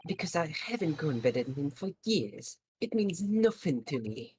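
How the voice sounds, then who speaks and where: A man's voice: fairly high pitch, very animated, moderate pace, dark tone, slight background noise, voice pushed up. A man voicing an evasive, rattled witness in a courtroom game fan dub.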